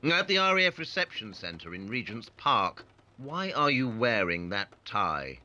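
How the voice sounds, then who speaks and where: Guy doing a snooty sleuth in an audio play, forceful edge, swoops around a lot, picky and precise. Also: fairly low pitch, very animated, measured pace, full character voice. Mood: probing, piqued. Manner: precise, clipped, pedantic interrogation. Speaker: actor in an audio drama.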